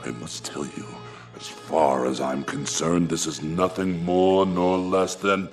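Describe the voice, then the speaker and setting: An actor playing a pompous, grasping middle-aged businessman with a measured, theatrical manner in a radio drama. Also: low voice, little pitch movement, faint music behind. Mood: indignant, exasperated.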